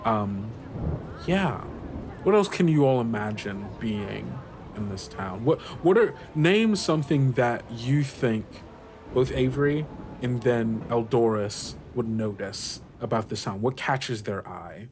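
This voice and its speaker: A man's voice: mid-range voice, lively intonation, slow, darkish tone, a little hiss or hum. A man narrating a tabletop game as game master, thinking aloud in a conversational way.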